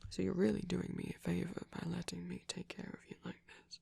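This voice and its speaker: A very breathy, whispering vampire boyfriend, played by a man, gentle and caring. The voice is husky with a creaky edge, soothing and a little self-conscious, in an intimate ASMR roleplay. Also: sits high, level tone, a little fast, exaggerated voice. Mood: shy, self-deprecating.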